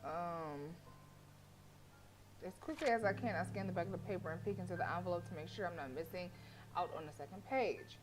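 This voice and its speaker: A woman's voice: fairly low pitch, a little fast, music in the background, rough recording. A woman streamer reading a horror visual novel aloud, breaking off into joking, swearing asides. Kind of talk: reading game text aloud with asides to chat.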